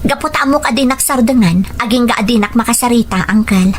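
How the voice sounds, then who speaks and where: A woman's voice: a little high, quick pace, slight background noise, close to an everyday voice. A woman with an Ilocano accent acting a hurt, defiant mother guarding her child in an audio drama. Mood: resolute. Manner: emotional, indignant. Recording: music bed under the voice.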